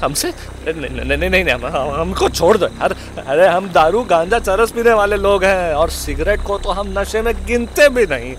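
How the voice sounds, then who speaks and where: Man acting a high, panicky comic captive. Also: animated, normal speed, slight background noise. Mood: pleading. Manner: stammering, whining, swearing. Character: panicky foul-mouthed comic male captive, cowardly sidekick. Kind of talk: Hindi horror-comedy audio drama acting.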